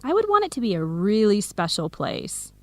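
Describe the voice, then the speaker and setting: A woman's voice: mid-range voice, wildly swooping pitch, normal speed, darkish tone, dry recording. An actress playing an earnest, playful young woman with coffee shop dreams in an educational radio drama. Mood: earnest, thoughtful.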